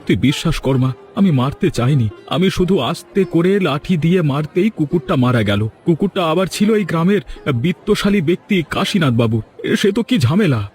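High-voiced humble village butcher played by a man. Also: animated, moderate pace, darkish tone, some chatter behind, lightly acted. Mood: friendly, rueful. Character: humble, deferential male village butcher, a kindly uncle. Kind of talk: cartoon fairy-tale acting. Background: music.

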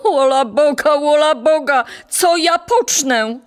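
A woman playing a blacksmith's sharp-tongued, greedy wife in a radio play, scolding and theatrical. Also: sits high, takes it slowly. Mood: wailing lament.

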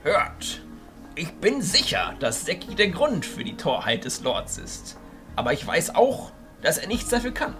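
An old wizard archchancellor in a fantasy radio play. His voice is high and sharply bright, with huge swings in pitch. He declaims with commanding, excitable emphasis over music. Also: a little slow, heavily acted. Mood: earnest. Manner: declamatory, emphatic, swinging between hushed and booming. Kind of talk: fantasy audio drama acting.

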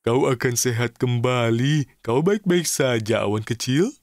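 A man's voice: medium pitch, lively intonation, measured pace, background noise. A man voicing a cheerful, impulsive, childlike little cartoon man in a children's show. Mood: caring. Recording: studio voice over cartoon music.

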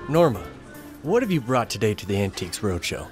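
A man's voice: lowish voice, big pitch swings, fairly quick, loud music behind the voice. A man playing a polite, puzzled antiques appraiser in a comedy sketch. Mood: courteous, expert.

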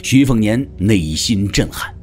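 A man's voice: on the low side, animated, unhurried, soft background music. A man giving a dramatic scripted narration of a martial arts story.

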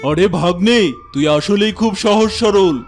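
A man's voice: sits high, moderate pace, faint music behind. A man voicing a blustering, protective uncle tiger in a children's cartoon, scolding and knowing. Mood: explaining.

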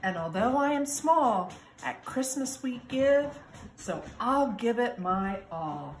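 A woman's voice: on the high side, expressive, slow. A woman voicing a small, eager, cheerful storybook cat in an exclaiming, singsong way. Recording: echoey room with noise.

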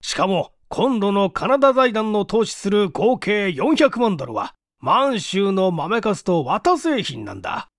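Man playing an insistent, expressive company president. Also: sits high, dry recording, clean studio sound, exaggerated voice. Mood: persuasive, urgent. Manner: formal, pressing, deliberate.

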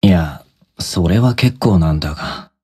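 A man voicing a blunt, surly, easily irritated high-school boy in an anime-style game, grumbling in rough speech. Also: animated, a little slow, a little mellow, full character voice.

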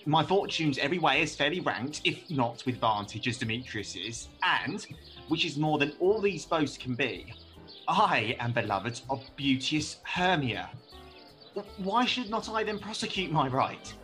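Actor playing ardent young Lysander, animated and bright. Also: male voice, a little high, a little slow, faint music behind. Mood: indignant, defiant. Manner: impassioned, theatrical verse delivery. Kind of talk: Shakespearean radio play acting.

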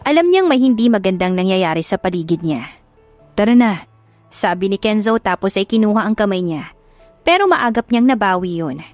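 A woman's voice: mid-range voice, very animated, brisk, dry recording, soft background music. A Filipino woman reading a love story as a dramatic audiobook narrator.

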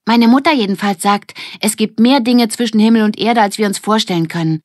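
A woman voicing a lively, dreamy young girl in a children's audiobook, speaking with wonder and exclamation. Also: medium pitch, brisk, no room sound, studio quality, voice pushed up, close to an everyday voice. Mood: earnest, wondering.

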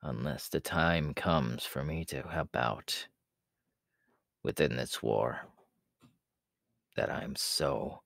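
A man voicing a menacing, haughty demon king in an ASMR audio roleplay, slow and imperious, in a gravelly, breathy half-whisper. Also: very deep voice, a bit bright, dry recording, studio quality, heavily acted. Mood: commanding. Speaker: voice actor.

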